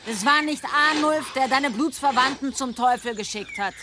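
A woman's voice: high voice, normal speed, close to an everyday voice. A woman in a German radio play, playing a proud, mocking, blunt medieval warrior woman. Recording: noisy outdoor ambience, birdsong.

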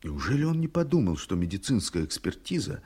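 A worried earnest lawyer in a radio play, deepish raspy voice. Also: male voice, big pitch swings, a little fast, dark tone, heavily acted. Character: anxious, conscientious male lawyer full of doubts. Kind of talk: Soviet-style radio play acting.

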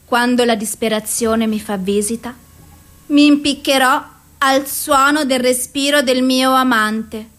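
An actress playing a despairing, suicidal and self-loathing woman in an anguished, deliberate theatrical radio monologue. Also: sits high. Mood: bleak resolve. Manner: slow, deliberate, anguished delivery.

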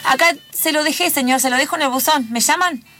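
A woman's voice: high-pitched, fast, bright, forceful tone, voice pushed up. A woman playing a nervous, dreamy, polite job applicant in an improvised radio play. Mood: nervous, hopeful. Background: music.

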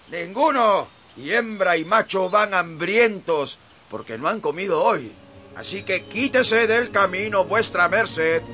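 An excitable, brash cart driver in a radio play. The voice is high and sharp, with emphatic archaic phrasing, over faint music. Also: male voice, exaggerated voice. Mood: mocking, hurried. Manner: emphatic, theatrical, archaic speech. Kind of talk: radio drama acting.

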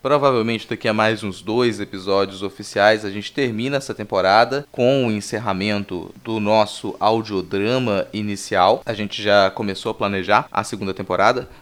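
A man's voice: lowish voice, moderate pace, hardly any pauses. A man with a Brazilian accent hosting a podcast, talking in an informal, conversational way. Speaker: podcast host. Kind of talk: podcast sign-off and casual chat.

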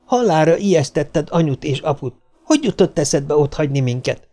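A man's voice: a little high, fairly even intonation, creaky, a little mellow, faint music behind, pitched above the performer's own voice. A man voicing a fretful, exasperated mother in a storybook, impatient and scolding. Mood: alarmed, scolding.

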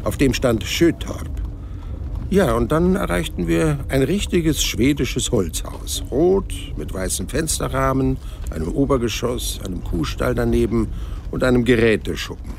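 A man's voice: mid-range voice, expressive, a little slow, gravelly, darkish tone, a little hiss or hum. An actor playing a wry, easygoing man who recounts his journey as conversational narration in a German audio drama. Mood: relaxed, reminiscing.

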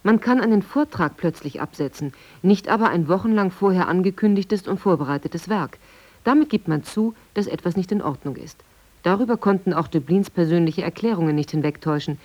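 A woman's voice: fairly low pitch, lively intonation, fairly quick, dark tone. A woman reading historical press reviews in a formal style for a radio documentary.

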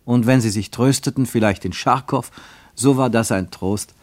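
An actor playing the sober narrator of a literary travel story, reading scripted narration in a German radio play. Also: male voice, mid-range voice, normal speed, no echo, lightly acted. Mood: sober, measured.

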